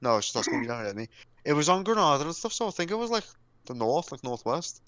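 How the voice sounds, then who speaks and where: A man's voice: fairly high pitch, big pitch swings, fast, a bit bright, clean tone, no room sound. A man in casual group banter on an online voice call, cocky and swearing freely. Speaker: podcast co-host.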